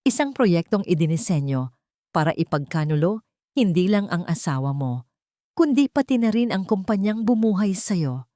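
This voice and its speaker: Female narrator as betrayed wife in an audio drama: cool, controlled, dignified, lower register. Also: lively intonation, normal speed, dark tone, no room sound, studio quality, close to an everyday voice. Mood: icy. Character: betrayed wife, cold, dignified, wounded corporate heiress.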